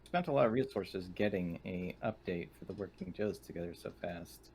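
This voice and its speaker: Guy talking over an rpg session, kinda low voice, casual. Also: lively intonation, normal speed, darkish tone, a little hiss or hum. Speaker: tabletop role-playing player. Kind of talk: casual game table discussion.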